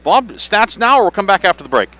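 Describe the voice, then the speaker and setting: A man calls a high school game for radio at halftime. His voice is bright and sits slightly high. He talks in halting bursts, circling back over his phrases as he hosts. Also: very animated. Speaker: radio sports play-by-play host. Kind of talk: live halftime sports commentary.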